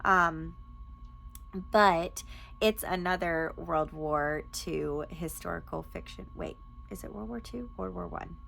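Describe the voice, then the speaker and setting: A woman's voice: on the low side, slow pace, creaky, fairly bright tone, a little hiss or hum, rough recording. A woman talking casually and enthusiastically, like a vlogger sharing recommendations with her viewers. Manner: enthusiastic, informal. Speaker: book reviewer and podcast host. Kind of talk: casual chatty book recommendation vlog.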